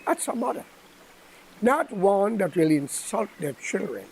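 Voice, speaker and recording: High, husky older Guyanese man, slow-talking. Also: sing-song, poor audio. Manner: preachy, admonishing, emphatic. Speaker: political commentator. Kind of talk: impromptu political address.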